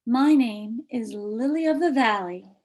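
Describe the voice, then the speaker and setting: A self-important little schoolgirl from a picture book, acted out by a woman. The voice is pitched high and stretched out, with an exaggerated sing-song delivery. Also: measured pace, darkish tone, a little hiss or hum. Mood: eager.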